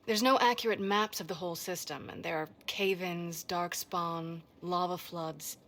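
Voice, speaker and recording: An actress playing a brisk, self-assured expert in a fantasy video game, with a dry, matter-of-fact delivery. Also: female voice, fairly low pitch, steady tone, a bit bright, close to an everyday voice.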